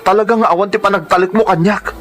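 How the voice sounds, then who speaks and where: An actor playing a hurt, indignant husband in a radio drama, insistent and accusing. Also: male voice, high voice, fairly even intonation, fast talker, a bit bright. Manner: reproachful, insistent. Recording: faint background noise.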